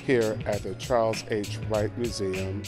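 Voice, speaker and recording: A man's voice: fairly low pitch, steady tone, unhurried, music in the background. A man, a nonprofit executive director, giving an upbeat, appreciative testimonial. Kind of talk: short testimonial interview.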